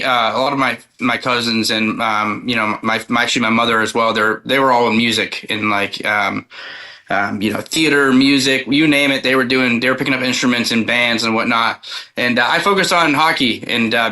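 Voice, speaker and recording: A man's voice: medium pitch, bright, forceful tone. A male singer-songwriter giving a casual interview, rambling and repeating words with plenty of "like" and "you know". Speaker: country singer-songwriter guest.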